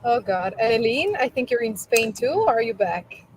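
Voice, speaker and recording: A woman's voice: a little high, moderate pace, breathy edge, rough recording. A woman hosting a company live stream over a video call while traveling, chatting casually and laughing lightly between questions. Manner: chatty, friendly, with small laughs and fillers.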